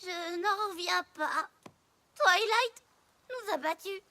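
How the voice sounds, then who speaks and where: A woman voicing a brash, competitive young female pony in a French cartoon dub, excitable and cocky. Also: extremely high pitch, slow, heavily acted. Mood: deflated.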